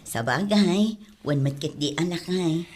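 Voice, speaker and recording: A woman's voice: on the low side, expressive, a little slow, a little mellow, a little hiss or hum. A woman with an Ilocano accent acting a caring, admonishing mother of teenagers in an audio drama. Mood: resigned.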